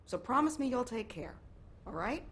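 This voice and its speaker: Game casting: an eager, playful female archaeologist. Higher voice, theatrical, teasing delivery. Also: very animated, normal speed, fairly bright tone, slight background noise. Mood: concerned. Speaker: relic excavation team member. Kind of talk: video game voice acting.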